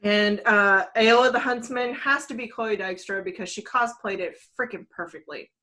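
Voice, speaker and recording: A woman talks in an average-pitched, conversational voice as a podcast co-host. She is informal and plainly enthusiastic, chatting as a fan about games and films. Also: moderate pace, bright, forceful tone.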